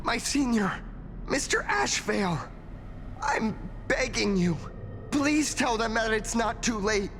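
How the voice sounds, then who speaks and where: High, earnest young junior. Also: female voice, big pitch swings, slow, fairly bright tone, soft background music, full character voice. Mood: pleading. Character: earnest, loyal young junior detective, pleading idealist. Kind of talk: emotional game voice acting.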